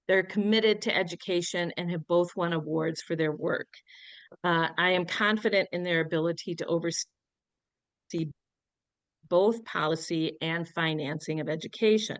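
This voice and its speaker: A middle-aged woman with a cold, answering questions at a candidate forum in a firm, earnest, measured way. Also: on the low side, no echo. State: has a cold, sniffly. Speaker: candidate for state senate.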